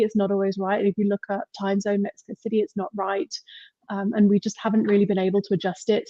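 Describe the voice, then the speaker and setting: A woman with an English accent talks as a podcast guest, chatting in a loose, rambling way with frequent laughs. Her voice is pitched around the middle for a woman. The sound is dry and a little muffled, like a video call. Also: a little mellow, dry recording. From England. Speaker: podcast guest, marketing mentor. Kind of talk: casual interview chat.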